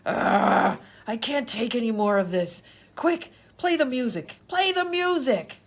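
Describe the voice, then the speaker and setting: A woman's voice: a little high, expressive, a little slow. A woman playing an exasperated, indignant hospital patient pleading her case in a comedy radio sketch.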